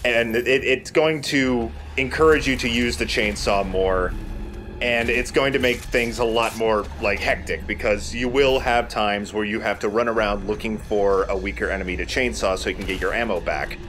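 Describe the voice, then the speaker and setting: A man's voice: lowish voice, fairly even intonation, moderate pace, fairly bright tone. A man giving casual game commentary as a let's play commentator. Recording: game noise behind voice. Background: game ambience.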